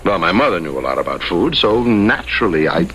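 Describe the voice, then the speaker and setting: An actor playing a weary, defensive husband in a 1970s radio drama, speaking in a plain, halting, conversational way. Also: male voice, deep voice, big pitch swings, a bit bright. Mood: wry, defensive. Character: weary, defensive husband who left his polished wife. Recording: noisy old radio broadcast recording.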